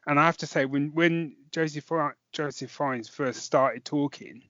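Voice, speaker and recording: A man's voice: fairly high pitch, fairly even intonation, a little slow, a bit bright, no echo, highs cut off. A man co-hosting a podcast, chatting casually about a review and thinking aloud as he goes. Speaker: podcast co-host.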